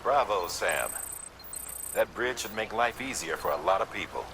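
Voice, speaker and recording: An actor playing a businesslike, encouraging male mission handler in a video game, giving clear instructions. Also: lowish voice, expressive, normal speed. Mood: encouraging, instructive. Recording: loud background noise.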